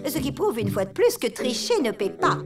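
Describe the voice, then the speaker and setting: Vain diva elephant, very high and showy. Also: female voice, sing-song, normal speed, reverberant, far from the mic, loud music behind the voice. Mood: smug. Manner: theatrical, self-satisfied. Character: vain scheming female elephant contestant.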